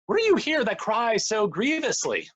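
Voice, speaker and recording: A man's voice: sits high, huge pitch swings, moderate pace, a bit bright, breathy edge, pitched above the performer's own voice, full character voice. A man playing a scheming villain in a stage tragedy, declaiming urgently with feigned alarm and outrage. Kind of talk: Shakespeare recitation.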